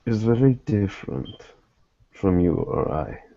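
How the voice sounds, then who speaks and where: A man plays a guarded private investigator in a tabletop game, questioning tersely. The voice is low and mellow, and the words come slowly and deliberately. The sound is dry and a little dull. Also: big pitch swings, no room sound. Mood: measured, guarded. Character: wary, probing male private investigator.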